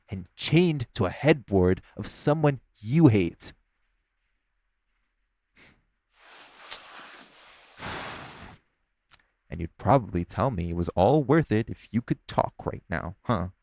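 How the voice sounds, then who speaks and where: A man playing a smug, hungry, flirtatious vampire in an audio roleplay, speaking with teasing theatrical flair in a gravelly voice. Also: mid-range voice, darkish tone. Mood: bitter, frustrated. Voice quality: gravelly, creaky voice. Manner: teasing, theatrical, swearing, sighing. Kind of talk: audio roleplay monologue to the listener.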